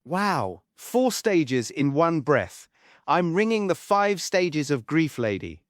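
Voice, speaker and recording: A man's voice: a little high, sing-song, slow, no echo, studio quality. A man playing a snarky, impatient, irreverent boss in a script read of a musical comedy play. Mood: sarcastic. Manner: sarcastic.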